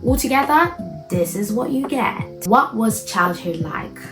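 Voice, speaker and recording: A woman in her twenties from Nigeria, with a Nigerian accent softened by British influence, chatting casually to camera as a medical student vlogger in quick, playful bursts. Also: a little high, lively intonation, music in the background. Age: 20s. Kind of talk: casual direct-to-camera chat.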